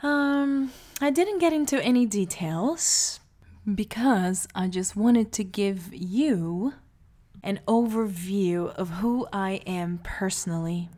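Slow, soft-spoken woman from Brazil. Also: mid-range voice. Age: 30s. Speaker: mother telling her story. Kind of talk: personal life story monologue.

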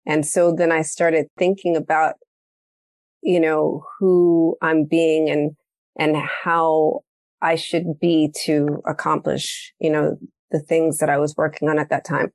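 A woman hosting a podcast, telling a story in a casual, animated way and acting out the quoted lines. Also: low-pitched, takes it slowly, no echo. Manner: animated storytelling, acts out quoted dialogue, laughs often. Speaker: podcast host. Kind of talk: casual conversational interview.

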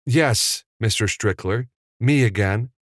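An earnest young job seeker voiced by a male narrator from an audiobook. He is deferential but gutsy, pitched up from a low register and recorded close and dry. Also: slow pace, dry recording. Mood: politely defiant.

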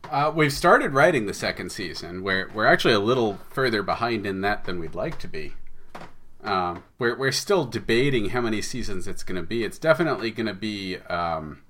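A man's voice: on the low side, expressive, normal speed, fairly bright tone, slight background noise. A man giving considered, explanatory answers as a guest in a casual interview. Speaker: podcast guest, audio drama co-creator.

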